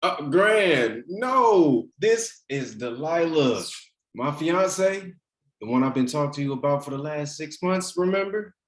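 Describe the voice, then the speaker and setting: An actor playing an easygoing, affectionate young grandson and fiancé in a table read, speaking casually and a little hesitantly. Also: male voice, on the high side, lively intonation, unhurried. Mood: eager. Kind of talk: virtual table read acting. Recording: echoey room on a remote call.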